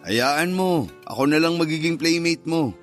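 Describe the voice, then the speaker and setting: A man's voice: a little high, lively intonation, a little slow. A man playing a doting, joking father of a young girl in a radio drama, talking playfully and coaxingly. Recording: music bed under the voice.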